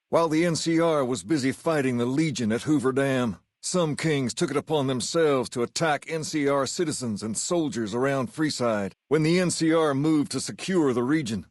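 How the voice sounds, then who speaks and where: Game epilogue narrator, played by a man: solemn male storyteller, measured scripted delivery, medium pitch, dry booth sound. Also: no echo, close to an everyday voice. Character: male storyteller of a post-apocalyptic game's epilogues.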